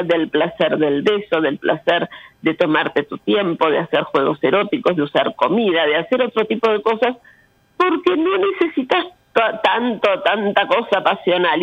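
A woman's voice: medium pitch, no echo. Argentine lady in her 50s, blunt and emphatic interview guest, dry slightly muffled radio sound. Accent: Argentine Spanish. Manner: frank, emphatic, talking with rhetorical questions. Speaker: interview guest speaking on sexuality. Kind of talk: candid conversational interview.

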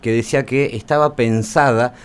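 A man putting questions in a conversational, unhurried way as a radio interviewer. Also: medium pitch, steady tone, smooth.

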